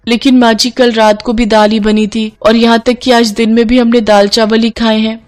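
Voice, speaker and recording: A woman's voice: fairly high pitch, flat delivery, moderate pace, faint music behind, pitched above the performer's own voice, lightly acted. A woman voicing a fed-up but timid young Indian daughter-in-law, whiny and exasperated, in a story. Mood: complaining. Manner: whiny, exasperated, polite to elders.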